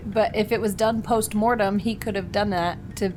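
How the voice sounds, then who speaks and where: A woman playing a tabletop game, chatting casually in a playful, expressive way. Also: mid-range voice, moderate pace, background noise. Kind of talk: casual chat.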